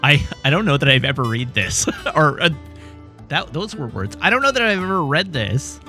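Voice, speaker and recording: A man's voice: a little high, big pitch swings, moderate pace, pushed and bright, faint music behind. A man giving casual, wry, joking commentary as he streams a video game. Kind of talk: casual live game commentary, sometimes reading aloud.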